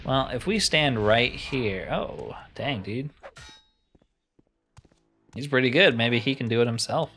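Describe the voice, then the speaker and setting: A man's voice: mid-range voice, animated, takes it slowly, long pauses, a bit bright, faint music behind. Casual man narrating his gameplay. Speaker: gaming video host. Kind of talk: casual game playthrough commentary.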